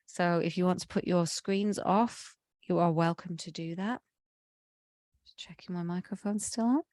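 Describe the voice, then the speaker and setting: A woman leading a guided meditation in a slow, soothing way, her voice slightly croaky from a cold. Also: on the low side, darkish tone, dry recording, clean studio sound. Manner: slow, soothing, drawn-out phrasing. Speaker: meditation leader.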